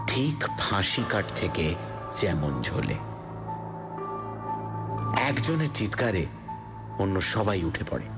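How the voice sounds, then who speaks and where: A man narrating a suspense story for radio in the first person, deliberate and theatrical with dramatic pauses. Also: low voice. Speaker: story narrator and lead character. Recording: suspense music behind the voice.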